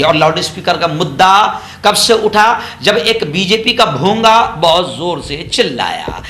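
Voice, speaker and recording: A man's voice: sits high, pushed and bright, a little hiss or hum. A man giving opinionated political news commentary, sarcastic and mocking, repeating phrases for emphasis.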